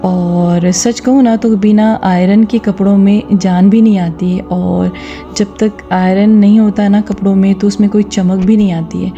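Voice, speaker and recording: A woman's voice: medium pitch, fairly even intonation, measured pace, mellow, highs cut off, soft background music. A woman narrating a vlog, giving instructive advice directly to her viewers. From India. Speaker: vlogger. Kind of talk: vlog voice-over with gentle religious advice.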